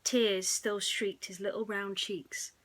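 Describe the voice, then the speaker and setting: A woman narrating a bedtime story in a soothing, hushed manner that often drops close to a whisper. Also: mid-range voice, flat delivery, slow.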